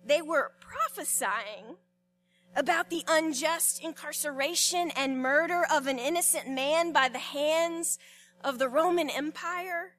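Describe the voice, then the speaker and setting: A woman pastor delivering a sermon, telling the story expressively with repetitions and dramatic pauses. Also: high-pitched, a bit bright.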